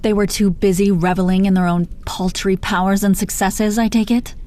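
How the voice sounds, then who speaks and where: A woman's voice: medium pitch, fairly even intonation, moderate pace, dark tone, natural voice. A woman playing a teasing, protective female supervillain in her thirties in an intimate roleplay audio drama, foul-mouthed but coaxing, full of pet names. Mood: outraged, tender. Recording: noticeable background noise.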